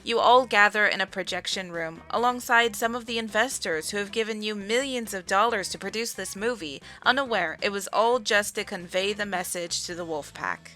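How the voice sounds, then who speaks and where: A woman narrator with a bright, clear-cut tone at medium pitch reads scripted comedy narration for a visual novel. Music plays underneath. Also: moderate pace, hardly any pauses.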